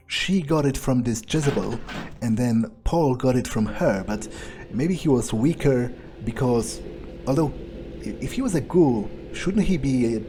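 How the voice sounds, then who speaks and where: A man playing a cynical, crude vampire who muses to himself in a halting, sardonic way during an improvised video game roleplay. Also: medium pitch, dark tone, a little hiss or hum, lightly acted. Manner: halting, musing, sardonic, swearing.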